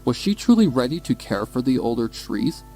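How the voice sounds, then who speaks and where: A man narrating a dramatic scripted story in a measured, suspenseful manner. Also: mid-range voice, very animated, warm tone. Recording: music behind the voice. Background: music.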